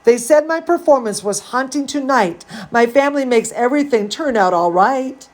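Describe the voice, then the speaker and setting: A woman's voice: sits high, expressive, normal speed. A woman voicing an earnest young zombie girl ballerina who tells her own storybook tale with theatrical, stretched-out words. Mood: proud.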